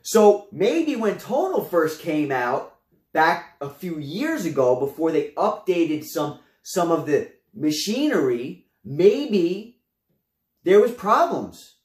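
A young man reviews home gym gear, talking to his viewers in a lively, gesturing way. His voice sits high for a man. The camera mic is a few feet off, so the small room's echo comes through. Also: slow. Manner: animated, gestures a lot, addresses viewers as guys. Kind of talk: casual product review to camera. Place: small room at home, indoors. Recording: roomy echo.

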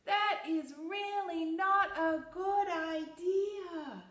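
Woman as squeaky sing-song little storybook chick. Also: fairly bright tone, reverberant, exaggerated voice. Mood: urgent warning. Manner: drawn-out, sing-song, emphatic. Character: little chicks chanting an anxious warning.

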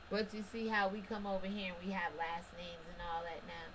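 A woman's voice: fairly low pitch, level tone, normal speed, noisy background. A woman giving a casual, preachy religious commentary monologue, talking straight to her listeners with emphatic rhetorical questions and colloquial asides. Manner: casual colloquial speech with restarts and short laughs.

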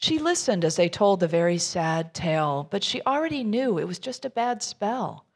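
A woman's voice: medium pitch, big pitch swings, moderate pace. A middle-aged woman minister reading a rhyming children's story aloud, expressively, with informal asides to her listeners.

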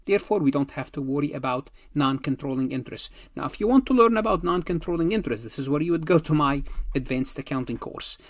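A man's voice: a little high, slightly rough, no echo, clean studio sound. A man giving an instructional lecture step by step, repeating phrases and answering his own questions. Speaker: accounting lecturer.

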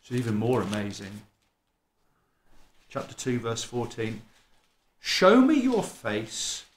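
A middle-aged man with an East Midlands accent tinged with Welsh, preaching a sermon at a lectern in a small church hall, animated and chatty, acting out what people say. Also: mid-range voice, takes it slowly. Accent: East Midlands English with some Welsh. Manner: animated, conversational, self-mocking, acts out people talking. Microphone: stand mic at a lectern, filmed by a camera across the room.

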